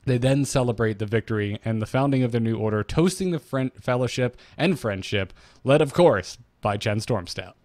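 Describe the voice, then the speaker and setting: A man's voice: mid-range voice, moderate pace, darkish tone, no echo, clean studio sound. A man co-hosting a podcast, talking informally and with enthusiasm as he recaps and discusses game lore as a fan. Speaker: podcast co-host.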